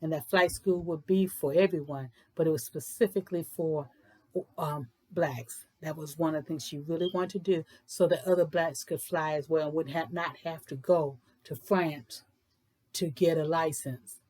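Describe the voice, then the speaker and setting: A woman host reading aloud for children with expressive, emphatic phrasing. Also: deep voice, normal speed. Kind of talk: expressive picture book read-aloud in rhyming verse.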